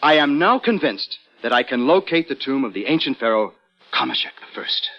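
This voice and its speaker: An actor playing a polite but firm young gentleman explorer, earnest and defiant, in old radio drama style. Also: mid-range voice, animated, fairly quick. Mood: earnest, determined. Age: young adult. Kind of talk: 1940s-50s American radio acting. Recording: muffled vintage radio recording.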